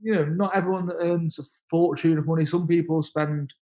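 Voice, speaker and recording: Halting man in his thirties. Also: on the high side, steady tone, warm tone, dull audio. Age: 30s. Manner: halting, repeats words and restarts often. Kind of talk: casual interview conversation.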